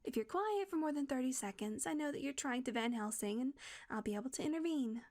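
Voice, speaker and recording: Woman doing a vampire lady, kinda high voice, whispery and tense, swears a lot. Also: expressive, fast talker. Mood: weary, firm. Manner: shifts from hushed whispers to cursing outbursts. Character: tense female vampire guarding a hunter. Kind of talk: audio roleplay acting.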